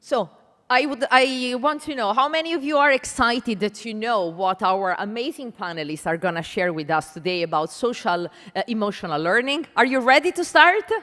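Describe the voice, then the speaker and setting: A woman with an Italian accent moderating a conference panel, speaking emphatically and deliberately to an audience and putting questions to them. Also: on the high side, expressive, fairly bright tone. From Italy. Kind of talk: conference stage presentation addressing an audience. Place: large indoor conference hall. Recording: reverberant hall sound.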